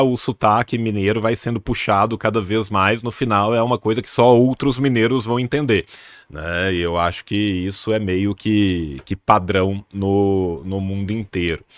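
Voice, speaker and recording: A man's voice: lowish voice, fairly even intonation, normal speed, no echo, clean studio sound. A young man from northern Minas Gerais with a Minas accent, hosting a casual live chat in a slangy, joking way. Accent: northern Minas Gerais Brazilian Portuguese. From northern Minas Gerais, Brazil. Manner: slangy, jokey, chatty. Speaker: channel host.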